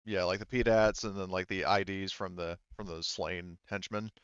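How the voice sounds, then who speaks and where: A man's voice: low voice, steady tone, moderate pace, a bit bright, clean tone, dry recording. A man running a tabletop role-playing game, explaining things casually in a rambling way full of asides, on slightly dull audio. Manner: rambling, frequent 'like' asides. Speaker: game master.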